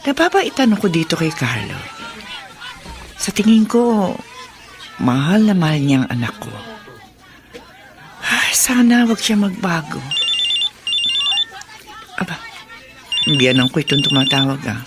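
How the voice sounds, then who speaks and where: An actress playing a dutiful, gentle middle-aged mother in a radio drama. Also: deep voice, sing-song, a little slow, long pauses, faint music behind, some chatter behind. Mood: reflective, content.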